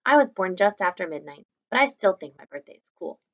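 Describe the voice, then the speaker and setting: A woman's voice: mid-range voice, sing-song, fairly quick, a bit bright, dull audio. A young woman reading a children's novel aloud expressively, like a teacher to her students, in a small room at home. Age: young adult.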